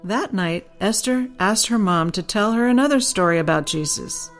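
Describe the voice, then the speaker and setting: A woman's voice: medium pitch, lively intonation, moderate pace, faint music behind. A woman reads her own children's story as scripted audiobook narration.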